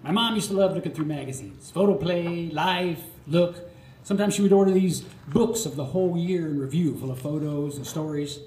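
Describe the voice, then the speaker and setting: An older American man performing a nostalgic autobiographical monologue live, theatrical, with dramatic pauses. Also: a little high, dark tone, faint music behind. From Florida, United States. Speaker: storyteller. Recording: roomy live recording with faint noise.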